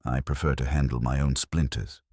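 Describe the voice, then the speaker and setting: A man acts out an imperious, exacting male detective in an audiobook mystery. The voice is very low and husky, formal and mild, each phrase placed with cutting precision. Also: level tone, a little fast, a little mellow, no echo, studio quality. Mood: dry, measured.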